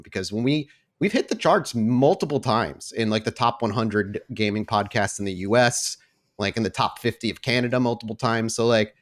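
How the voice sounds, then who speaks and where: Guy with a lowish voice hosting a gaming podcast, chatty and informal. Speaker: host and game storyteller. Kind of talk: podcast announcements and game narration.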